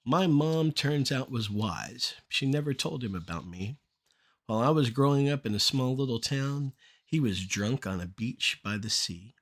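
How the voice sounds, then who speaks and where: Middle aged guy reading his poems out loud, rhymey spoken word, deliberate short phrases. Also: medium pitch, animated.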